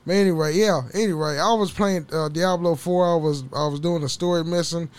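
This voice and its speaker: A man hosting a casual solo podcast, rambling and swearing with frequent laughs, his voice a little hoarse. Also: a little high, fairly even intonation, fairly quick. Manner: rambling, profane, laughs often. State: slightly hoarse from waking up. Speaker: podcast host.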